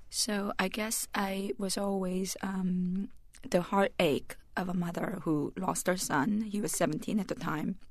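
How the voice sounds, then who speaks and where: A woman in her forties from South Korea, speaking with a Korean accent, thoughtful and reflective, pausing often with ums and restarts as a radio interview guest. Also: lowish voice, dry recording. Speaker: author and journalist guest.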